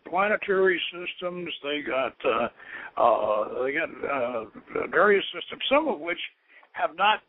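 Phone-line male radio host, halting and scholarly. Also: fairly high pitch. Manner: halting, rambling, scholarly storyteller. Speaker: host of an occult radio show. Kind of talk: informal explanatory talk. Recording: narrow, tinny phone-line radio sound.

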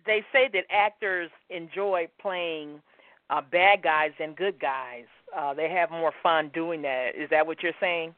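An enthusiastic woman hosts an interview, often pausing with "um" while she hunts for the right words. Her voice comes through thin and phone-like, completely dry. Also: low voice, no echo. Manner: enthusiastic, searching for words with many "um"s. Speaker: host. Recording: tinny phone-like sound, dry.

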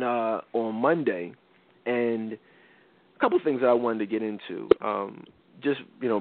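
A man's voice: medium pitch, no room sound. A congested male host talks loosely, restarting his sentences and filling gaps with "you know." His voice is thin and phone-like. The sound is dry, with no room around it. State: congested from a cold. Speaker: podcast host. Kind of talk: casual talk show chat.

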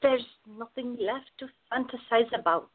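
A woman acting a tender, protective woman in love, quietly insecure, in a radio play. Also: on the high side, moderate pace, narrow, tinny audio, close to an everyday voice. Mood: tender, unsure.

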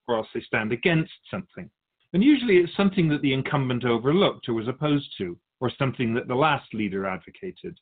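A man's voice: medium pitch, phone-quality sound. A man playing an otherworldly spirit guide who is amused by humans and speaks with pedantic sarcasm in a scripted radio conversation.

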